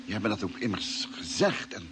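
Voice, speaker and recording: A man's voice: fairly low pitch, big pitch swings, moderate pace. Bewildered, grumbling spaceship crewman. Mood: indignant. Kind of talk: vintage Dutch radio play acting. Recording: noisy old radio drama recording.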